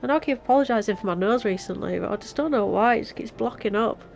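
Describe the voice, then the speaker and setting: A woman's voice: on the high side, quick pace, gravelly, a little mellow, soft background music. Nasal-sounding Yorkshire woman. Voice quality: blocked-nose nasal. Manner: chatty, self-mocking, laughs often. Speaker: let's play host. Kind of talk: casual gameplay commentary.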